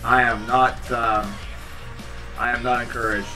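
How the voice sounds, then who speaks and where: A man in his thirties hosting a home livestream, chatting casually with viewers in a rambling, low-key, tired way. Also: level tone, measured pace, pushed and bright, distant mic, faint music behind. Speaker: livestream host reading chat. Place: small home room indoors. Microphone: headset.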